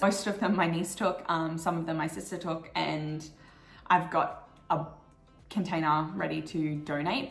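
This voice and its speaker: A young adult woman with an Australian accent and a croaky morning voice, chatting casually and upbeat through her monthly goals vlog with plenty of "like" fillers. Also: fairly low pitch, fairly bright tone, echoey room. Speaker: vlogger.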